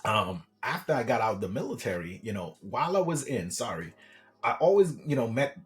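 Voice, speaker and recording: A middle-aged man from Trinidad and Tobago, a guest telling his life story in a friendly, animated way and often saying "man". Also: medium pitch, fairly quick, faint music behind. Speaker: guest, government contracting coach. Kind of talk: casual interview storytelling.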